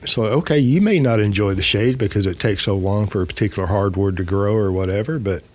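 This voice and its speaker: A man in his fifties talks through a podcast conversation in a fairly low voice. He is emphatic, circling back and repeating phrases to press each point home. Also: fairly quick, a little mellow. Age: 50s. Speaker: podcast host.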